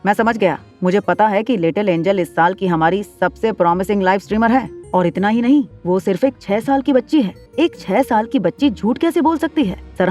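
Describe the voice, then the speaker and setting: An earnest, deferential office employee, voiced by a woman narrator with a mellow tone. The lines are worried and protective audio drama dialogue, with faint music behind. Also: medium pitch, lightly acted.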